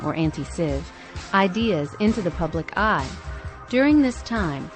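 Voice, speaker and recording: Woman hosting a podcast, lowish voice, reading a script, bit of music behind. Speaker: podcast host. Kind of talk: scripted narration.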